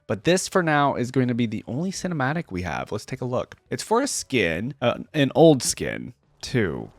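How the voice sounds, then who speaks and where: Guy doing casual reaction commentary, medium pitch, chatty and thinking out loud, really dry sound no room. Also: very animated, normal speed, no room sound. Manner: conversational, thinking out loud with hedges. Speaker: gaming reaction video host. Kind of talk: casual reaction commentary, reading aloud and remarking.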